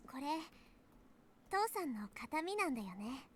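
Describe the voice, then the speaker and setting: A woman voicing a lively, earnest teenage girl in a Japanese anime visual novel. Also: high-pitched, a little fast, slight background noise. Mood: curious. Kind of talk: Japanese anime game voice acting.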